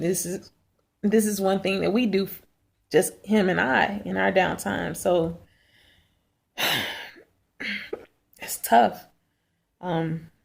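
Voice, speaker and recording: Hoarse, gravelly-voiced woman vlogging. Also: lowish voice, darkish tone. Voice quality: raspy. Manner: halting, frequent throat clearing. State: hoarse, sore throat. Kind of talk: casual video diary. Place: at home.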